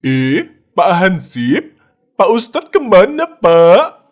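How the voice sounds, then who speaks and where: A woman's voice: lowish voice, very animated, slow, a little mellow, heavily acted. A woman voicing an eager, suspicious young male sidekick in a cartoon, exclamatory and deferential. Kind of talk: animated cartoon dialogue.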